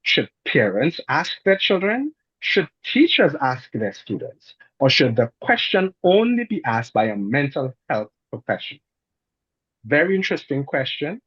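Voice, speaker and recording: A man's voice: fairly high pitch, very animated, measured pace, husky, dry recording. A man with a Caribbean accent moderating a discussion in a careful, formal, wordy way over muffled online call audio. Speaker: panel moderator.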